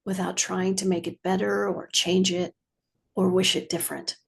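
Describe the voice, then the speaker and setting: A woman meditation teacher leading a guided practice in a measured, soothing way, with long pauses and a slightly husky voice. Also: on the low side, level tone.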